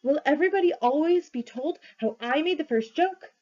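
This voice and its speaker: A woman voicing an excitable little talking jackdaw in a storybook reading. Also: high voice, moderate pace, lo-fi sound, voice pushed up. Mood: eager.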